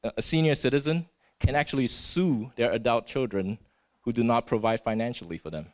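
Male preacher from Singapore, mid-pitched, earnest, checks in with "right?" and stumbles over restarts. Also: a little fast. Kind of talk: sermon.

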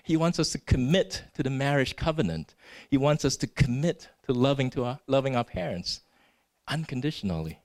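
A man's voice: medium pitch, lively intonation, a little fast, a little mellow. A man from Singapore preaching a sermon in an earnest way. He often tags his points with "right?" and restarts his words.

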